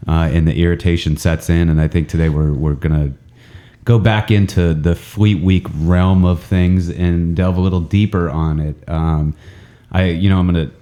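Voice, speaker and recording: Podcast host, male, very low and dark, congested from a cold, rambling and self-mocking. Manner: rambling, self-mocking, many ums and uhs. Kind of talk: casual podcast chat. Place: indoor training center room, several people around a table.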